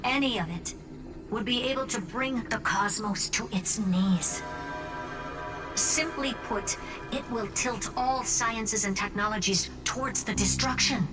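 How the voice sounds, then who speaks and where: Woman acting a self-assured genius, higher voice. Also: measured pace, close to an everyday voice. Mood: grave, emphatic. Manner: wry, self-assured, talking down playfully to the listener. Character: confident female genius, teasing and self-assured, turning grave. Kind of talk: video game dialogue voice acting. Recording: loud music bed under voice. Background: background music.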